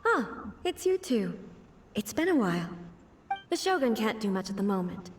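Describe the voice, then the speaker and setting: A woman voicing a reclusive, contemplative female deity in a video game, speaking in a measured and articulate manner. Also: medium pitch, big pitch swings, a little hiss or hum, natural voice. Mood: calm, explanatory.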